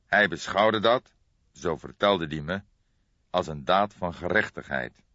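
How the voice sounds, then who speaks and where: A man voicing a weary, reflective old detective who speaks in a deliberate, solemn way in an audiobook. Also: low-pitched, animated, bright, forceful tone, full character voice. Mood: grave. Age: older. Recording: boxy, echoey, dull recording.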